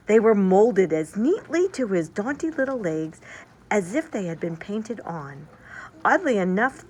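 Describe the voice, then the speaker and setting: A woman reading a children's story aloud like a teacher, leaning deliberately on descriptive words. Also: mid-range voice, a bit bright, poor audio.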